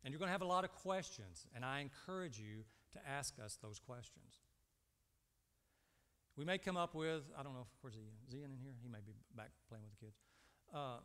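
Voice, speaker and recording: A man's voice: medium pitch, fairly bright tone. Man preaching in a reverberant hall. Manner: asks the congregation short rhetorical questions and answers them. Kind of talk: sermon. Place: indoor church stage at a lectern.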